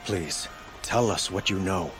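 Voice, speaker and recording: A man playing a courteous, earnest young king in a video game, speaking formally and gently. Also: low-pitched, a little slow, faint music behind. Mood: grave. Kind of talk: video game cutscene acting.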